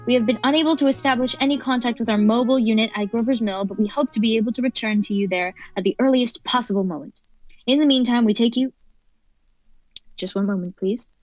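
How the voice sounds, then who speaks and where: Woman playing urgent radio drama newsreader, talks fast, high-ish voice, muffled sound. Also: close to an everyday voice. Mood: urgent, formal. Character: brisk female radio news announcer relaying disaster bulletins. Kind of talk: radio play news announcing. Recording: dull lo-fi sound, music behind.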